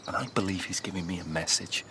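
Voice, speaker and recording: An actor in a radio drama playing an earnest, haunted rebel, speaking with confiding intensity. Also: male voice, low-pitched, fairly even intonation, fast talker, noisy background. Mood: urgent, confiding. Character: earnest, haunted male dissident, hungry for rebellion. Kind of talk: naturalistic radio drama acting.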